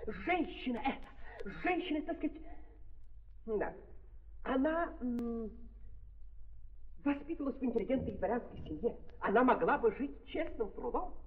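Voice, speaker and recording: An actor playing a smug, dim-witted prosecutor, orating pompously and haltingly in a theatrical 1930s radio drama style. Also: male voice, very high-pitched, animated. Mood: floundering, self-important. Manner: pompous, halting, repeats filler phrases. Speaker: prosecutor in a courtroom. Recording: dull old 1930s recording.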